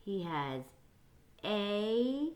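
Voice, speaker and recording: Woman reading aloud, lively and unhurried. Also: medium pitch. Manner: expressive, dramatic, dropping into hushed whispers. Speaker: storyteller reading a picture book to children. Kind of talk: animated read-aloud with teaching asides.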